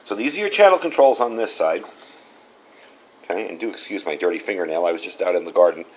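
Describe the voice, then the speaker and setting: A man's voice: sits low, expressive, fast, rough recording. A man hosting a casual hands-on product review, talking in a folksy, plain-spoken way and repeating himself for emphasis. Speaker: product reviewer and channel host.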